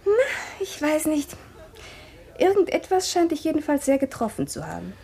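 An actress playing a teasing, then anxious upper-class wife in a radio drama. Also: female voice, high voice, very animated, normal speed, creaky, warm tone, exaggerated voice. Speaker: wife hosting a bridge evening.

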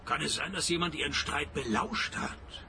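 An actor playing a cynical, wisecracking private detective who narrates a radio crime comedy in a deadpan, gravelly voice. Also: male voice, fairly high pitch, normal speed, a little hiss or hum. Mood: sardonic, probing. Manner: deadpan, sardonic.

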